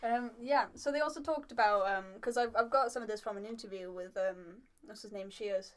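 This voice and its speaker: A woman's voice: mid-range voice, brisk. A young woman with a slight Welsh accent chats casually on a podcast in a giggly, rambling way. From Wales. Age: young adult. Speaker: podcast co-host.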